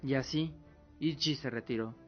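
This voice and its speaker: A man's voice: medium pitch, moderate pace, lo-fi sound, soft background music. A man reading scripted dramatic narration as the storyteller of an audio drama.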